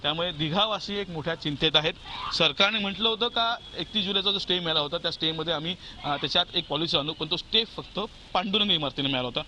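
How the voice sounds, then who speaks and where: A man's voice: a little high, fast, sharp tone. A man giving an emphatic, repetitive news report on location as a field reporter. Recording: faint location noise.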